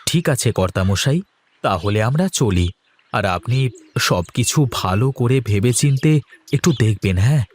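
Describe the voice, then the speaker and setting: Man as a deferential, slow-speaking villager. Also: medium pitch, expressive. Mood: earnest, polite. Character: respectful male villager petitioning the village chief. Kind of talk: Bengali folk-tale cartoon voice acting.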